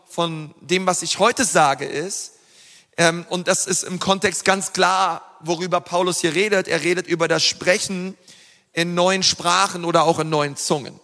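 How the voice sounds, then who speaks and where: High-voiced bright preacher in a hall. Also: male voice. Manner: earnest, emphatic preaching with frequent fillers. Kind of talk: sermon. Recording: long reverb of a large hall.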